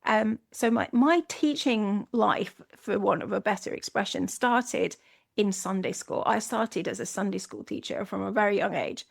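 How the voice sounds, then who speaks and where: Gravelly-voiced Scottish woman hosting a podcast. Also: medium pitch, moderate pace. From Scotland. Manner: earnest, reflective, with frequent restarts. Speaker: podcast host. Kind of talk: solo podcast monologue.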